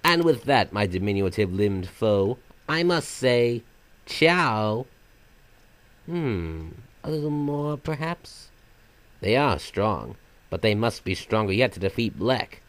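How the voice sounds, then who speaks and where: A man's voice: fairly low pitch, big pitch swings, takes it slowly, no room sound. A man voicing a flamboyant magical trickster villain from a video game, half silly and half sinister, with florid, mock-polite theatrical delivery. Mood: smug, sinister, then scheming. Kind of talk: fan voice-over of video game villain lines.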